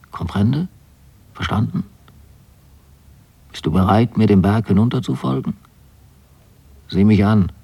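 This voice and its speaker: Domineering older eccentric for radio play , played by a man: low, husky, cryptic, bossy ranting and curt questions. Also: warm tone, exaggerated voice. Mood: commanding. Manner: bossy, rambling, declaiming, barked questions.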